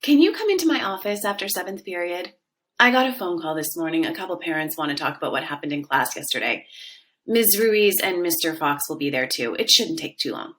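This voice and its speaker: A woman's voice: lowish voice, expressive, a little fast, a bit bright. A woman playing a discreet, authoritative school principal in an educational drama, speaking in a businesslike way.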